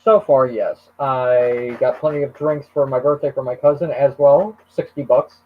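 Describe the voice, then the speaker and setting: A man's voice: mid-range voice, fairly even intonation, moderate pace. A man hosting a live stream and chatting casually, often hesitating and trailing off mid-sentence, over muffled call audio. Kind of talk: casual chat.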